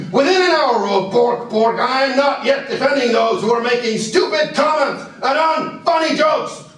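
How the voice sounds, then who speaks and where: A poet in his thirties tears through his poems, loud and fast, often half-shouting. His voice sits very high for a man, and it rings through a very echoey room, heard from a distance. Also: gravelly. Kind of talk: sound poetry performance. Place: small gallery room with audience. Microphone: stand mic, filmed from far camera.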